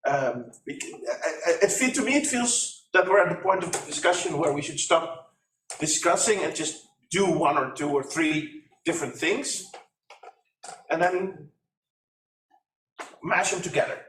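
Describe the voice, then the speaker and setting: A man's voice: fairly high pitch, animated, unhurried, pauses often, slight background noise. Forthright man speaking from the floor. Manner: forthright, practical, loud voice. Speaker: audience participant from industry. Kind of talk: conference floor discussion. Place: conference meeting room. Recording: echoey room, distant ceiling mics.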